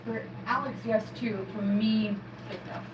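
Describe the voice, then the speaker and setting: A young adult woman, a guest musician, talking in an animated, self-effacing way during a live interview. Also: mid-range voice, unhurried, noisy background. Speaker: guest musician, fiddler and singer. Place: live show studio. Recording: roomy, distant, dull sound with loud music.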